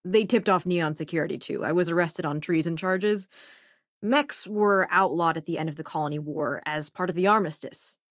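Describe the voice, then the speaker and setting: A woman's voice: fairly low pitch, moderate pace, dry recording, studio quality. An actor, a young woman, voicing a clever, wry and self-assured renegade scientist who crews a spaceship in a video game. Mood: matter-of-fact.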